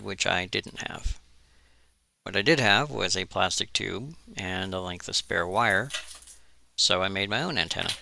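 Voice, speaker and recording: Male maker-video narrator: low register, plenty of fry, deliberate storytelling voice-over, slight background noise. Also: bright, forceful tone.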